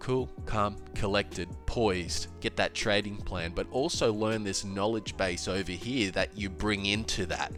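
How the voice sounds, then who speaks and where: Male host, lowish stuffy voice, animated casual market talk, faint music behind. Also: unhurried, hardly any pauses. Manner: animated, emphatic, with filler and restarts. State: congested, slightly nasal. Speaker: crypto trading educator. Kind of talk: casual solo video talk.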